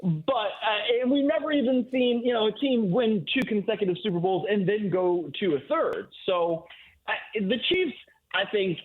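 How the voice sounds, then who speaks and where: Guy calling in as football analyst, thin phone sound, slightly high voice, chatty long explanations. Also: animated, normal speed, narrow, tinny audio. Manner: conversational, long explanatory answers peppered with "you know" and "right?". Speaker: guest football analyst on a sports radio show. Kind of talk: off-the-cuff sports analysis. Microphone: phone or remote call-in line.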